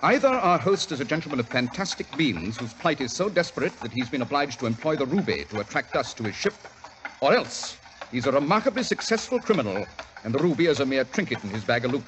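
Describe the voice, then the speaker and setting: An actor playing a cool, superior English gentleman detective with a British accent and crisp, precise manner in a 1940s radio drama. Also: medium pitch, big pitch swings, fast talker, rough recording. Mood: eager, decisive. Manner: crisp, precise, lecturing, unruffled. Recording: old radio recording with faint hiss.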